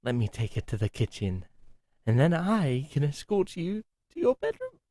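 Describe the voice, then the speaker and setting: A man voicing a gentle, timid masked servant to a cruel duke, speaking softly, formally and with hesitation. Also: a little high, huge pitch swings, normal speed, no room sound, studio quality. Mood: touched. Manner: soft, hesitant, formal and polite. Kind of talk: ASMR roleplay acting.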